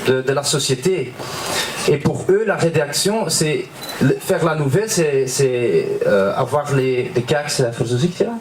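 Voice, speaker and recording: A man whose first language is Dutch, with a Flemish Belgian accent, a filmmaker talking slowly in an interview and often searching for his words. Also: mid-range voice, lively intonation, reverberant, slight background noise, rough recording. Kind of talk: casual interview.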